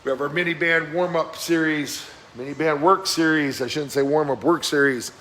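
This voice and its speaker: A man leading a live-streamed workout as fitness coach, giving brisk, encouraging exercise cues in a slightly hoarse voice that keeps cracking. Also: on the high side, steady tone, fairly bright tone. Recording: echoey room with faint background noise.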